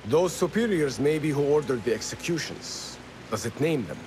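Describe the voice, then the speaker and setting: An actor playing a fervent rebel leader rallying freed slaves in a dramatic video game. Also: male voice, fairly high pitch, animated, moderate pace, noisy background, natural voice. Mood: grave, resolute.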